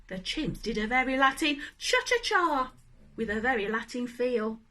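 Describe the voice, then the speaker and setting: A woman reading a children's story aloud in an animated, deliberate manner, addressing young listeners. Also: fairly high pitch. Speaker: storybook reader.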